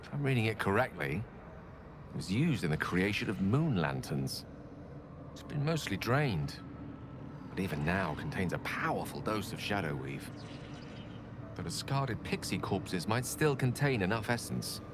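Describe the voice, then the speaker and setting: An actor playing a learned, wordy wizard in a video game, eloquent and musing aloud. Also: male voice, on the low side, very animated, moderate pace. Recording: game audio with clear background noise.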